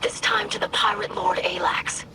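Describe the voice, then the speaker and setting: An actress playing an earnest, studious young alien in a video game, formal, precise and breathy. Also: female voice, medium pitch, fairly even intonation, moderate pace, whispered, close to an everyday voice. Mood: earnest, concerned. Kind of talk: video game character acting.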